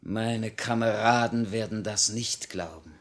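Condemned idealist prisoner, 1950 radio play. Husky, impassioned, unbending, declamatory. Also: male voice, on the low side, a little slow. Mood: contemptuous, steadfast. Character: condemned revolutionary prisoner, defiant, fervent idealist. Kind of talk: 1950 radio drama acting. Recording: vintage 1950 radio recording.

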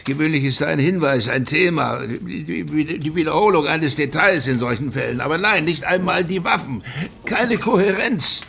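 An exasperated provincial police chief in a radio noir. His voice is fairly high and forceful with a creaky grain, clipped and insistent. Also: animated, moderate pace. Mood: grim, exasperated. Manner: agitated, insistent, clipped lists. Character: tense, defensive, exasperated male provincial Soviet police chief. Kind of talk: noir radio drama dialogue.

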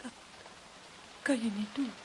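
A woman's voice: on the high side, fairly even intonation, unhurried, mellow, slight background noise, natural voice, low-quality recording. An actress in a radio play playing a frightened, bewildered woman who speaks hesitantly and pleadingly in broken phrases. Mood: pleading, distressed. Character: frightened, bewildered woman cornered by her tormentor. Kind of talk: Dutch radio play acting.

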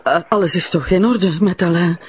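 A woman's voice: lowish voice, normal speed, lightly acted. An actress playing a distraught, insistent mother with a Flemish accent in a radio crime drama. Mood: frightened, pleading.

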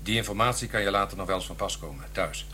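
An actor playing a worldly Dutch expatriate guide in a radio drama, explaining things bluntly. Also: male voice, sits low, fast, bright, forceful tone. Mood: blunt, helpful. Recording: clear background noise and hum.

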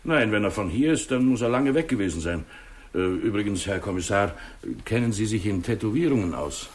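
A man acts a dutiful small-town policeman in an old radio play. He talks quickly in a low voice, plainly and eagerly, as if reporting to his superior. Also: expressive, darkish tone, natural voice. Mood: animated recounting. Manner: plain-spoken, keen to tell. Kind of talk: 1960s German radio drama acting.

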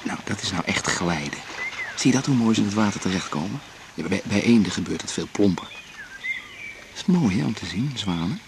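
A man playing a dreamy, easygoing musician in a radio drama, musing in halting, repeated phrases. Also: on the low side, animated, background noise. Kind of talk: 1980s Dutch radio drama acting.

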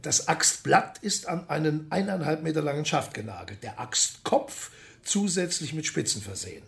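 Factual military expert guy, slightly high male voice, creaky, precise radio drama explaining. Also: expressive, normal speed, a bit bright. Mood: matter-of-fact. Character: matter-of-fact male expert on medieval weaponry.

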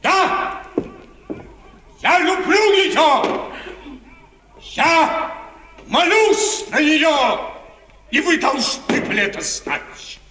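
Imperious young prince in love, theatrical and impassioned; echoing, distant vintage radio tragedy recording. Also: male voice, extremely high pitch, lively intonation, drawn-out words, pauses often, bright, forceful tone, heavily acted. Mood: furious, anguished. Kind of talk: 1950s radio drama acting. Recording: echoey, distant, lightly noisy 1950s radio recording.

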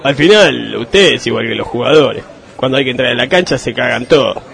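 A man acts out a cocky Argentine football hooligan boss for a radio comedy. He talks bluntly in a mid-pitched voice, sarcastic and bossy, always ready with a wisecrack. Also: expressive, fairly quick, vocal fry, fairly bright tone. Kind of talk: comic radio sketch acting.

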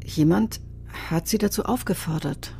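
An actress playing a reticent, enigmatic fortune teller with a husky, creaky voice and a guarded, terse manner in a radio crime drama. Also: female voice, fairly low pitch, normal speed, warm tone, a little hiss or hum.

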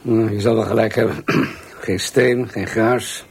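Husky, musing police commissioner. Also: male voice, lowish voice, steady tone, measured pace, full character voice. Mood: pondering. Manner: musing, terse. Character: pondering, authoritative male police commissioner. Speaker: actor in a radio drama. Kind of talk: radio drama dialogue.

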